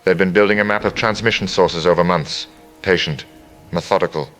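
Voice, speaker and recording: A man playing a somber, analytical survivor radio operator, measured and bleak, in an audio drama. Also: low voice, fairly even intonation, fairly bright tone, soft background music. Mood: analytical, grave. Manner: measured, matter-of-fact, bleak. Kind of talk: post-apocalyptic audio drama acting.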